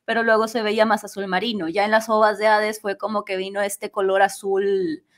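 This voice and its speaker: A woman's voice: mid-range voice, little pitch movement, brisk. A woman with a Latin American Spanish accent chatting playfully as an interview guest. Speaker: guest, amateur voice actress. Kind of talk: casual interview chat.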